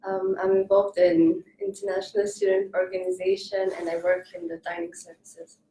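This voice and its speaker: Young woman from Rwanda, gravelly dark voice. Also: medium pitch, very animated, moderate pace, a little hiss or hum. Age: young adult. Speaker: student panelist. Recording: echoey room.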